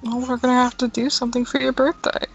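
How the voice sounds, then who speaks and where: Sassy roleplayer with a gravelly, fry-heavy voice and faint music underneath. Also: sits high, flat delivery, fast talker, a bit bright, highs cut off. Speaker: roleplay player. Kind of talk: casual voice-chat roleplay.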